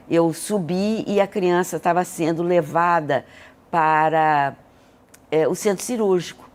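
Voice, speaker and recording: An older Brazilian woman telling personal stories as an interview guest, speaking in an earnest, emotive way. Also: on the low side. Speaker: interview guest, hospital leader. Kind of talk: reflective personal storytelling in an interview.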